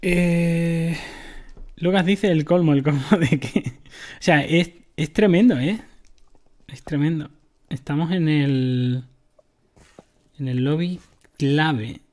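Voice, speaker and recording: Chatty gaming streamer, higher voice. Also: male voice, slow, long pauses, a little mellow. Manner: chatty, explains items to viewers. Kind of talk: casual gameplay commentary.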